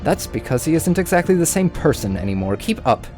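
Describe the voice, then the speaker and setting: A man's voice: a little high, sing-song, brisk, a little mellow, faint music behind, pitched above the performer's own voice. A man voicing a petulant, teasing, all-powerful male trickster being in a story. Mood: knowing. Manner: petulant, mocking.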